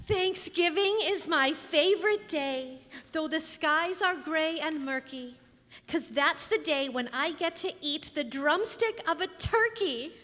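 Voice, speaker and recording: A woman's voice: high voice, expressive, slow pace, a bit bright, exaggerated voice. A woman playing a petulant nine-year-old girl, the youngest child, in an old-time radio play recorded on a reverberant stage. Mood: reciting. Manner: petulant, sing-song recitation.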